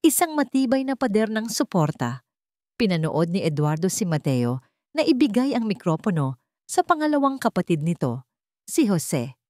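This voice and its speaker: A woman's voice: mid-range voice, sing-song, moderate pace, darkish tone, no room sound, studio quality. A woman narrating a scripted story in a solemn, suspenseful, dramatic way.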